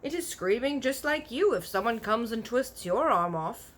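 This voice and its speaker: A woman's voice: a little high, lively intonation, moderate pace, pitched above the performer's own voice. A woman voicing a kindly, big-eared storybook giant who is earnest, proud and easily offended, talking in folksy broken grammar with invented words. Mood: emphatic. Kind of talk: animated children's storybook character voice.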